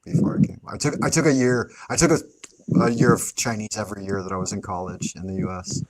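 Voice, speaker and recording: A middle-aged American man telling a story in a relaxed, rambling way, often restarting his sentences. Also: on the low side, fast, heavy background noise. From United States. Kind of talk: casual storytelling.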